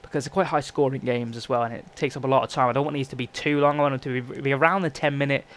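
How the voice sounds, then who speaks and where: A man's voice: mid-range voice, fairly even intonation, rapid-fire. Young man, congested from a cold, rattling through chatty game commentary at speed. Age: young adult. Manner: chatty, rambling, frequent self-corrections. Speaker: gaming YouTuber. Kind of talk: casual gameplay commentary.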